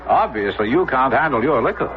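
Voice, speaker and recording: An actor playing a stuffy, proper young upper-class friend, prim and disapproving, in a period radio drama. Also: male voice, mid-range voice, lively intonation, a little fast, close to an everyday voice. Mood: scandalized, disapproving. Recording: muffled old radio recording with music.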